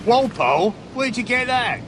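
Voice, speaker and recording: An actor playing a sneering, sarcastic colonial prison guard. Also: male voice, extremely high pitch, sing-song, normal speed, noisy background. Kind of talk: video game cutscene acting.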